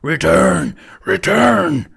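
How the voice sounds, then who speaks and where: A man voicing a gargantuan, wrathful female dragon demon in an audiobook, hoarse and roaring, declaiming in slow, drawn-out verse. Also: high voice, expressive, fairly bright tone, voice pushed up, exaggerated voice. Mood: menacing roar.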